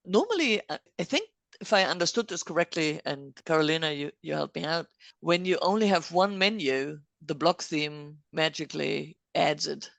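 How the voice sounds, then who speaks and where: Chatty woman host with a gravelly edge. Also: deep voice, expressive, normal speed, fairly bright tone, no room sound. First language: not English. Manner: chatty, explains step by step, laughs often. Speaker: podcast host. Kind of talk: casual technical chat.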